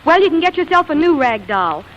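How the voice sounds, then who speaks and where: An actress playing a skeptical, sharp-tongued woman pursued by an old sweetheart in a 1940s radio romantic comedy, with wry retorts. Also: high-pitched, very animated, a little hiss or hum. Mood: scornful. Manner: sardonic, quick with wry retorts. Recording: dull vintage radio recording, music behind.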